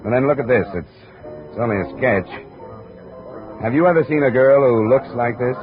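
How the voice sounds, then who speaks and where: A man's voice: mid-range voice, moderate pace, natural voice. An American man playing a dry, businesslike private investigator in a 1950s radio drama, clipped and matter-of-fact. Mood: casual, probing. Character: dry, businesslike male private investigator narrating his case. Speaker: lead actor and narrator. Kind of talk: 1950s radio detective acting, scripted narration. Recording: muffled, tinny old radio recording, music behind.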